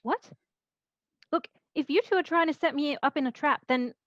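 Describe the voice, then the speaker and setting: A woman's voice: sits high, normal speed, a bit bright. A woman playing a curt, suspicious, bossy female elf in a fantasy comedy table read, on a dull microphone. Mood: defiant. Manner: curt, challenging.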